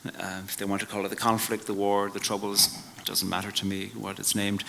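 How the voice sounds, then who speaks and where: An Irish man giving a reflective live talk and reading his poems, in a measured way that drops to a hush at the ends of lines. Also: fairly low pitch, completely flat delivery, vocal fry, slight background noise. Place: live venue before an audience.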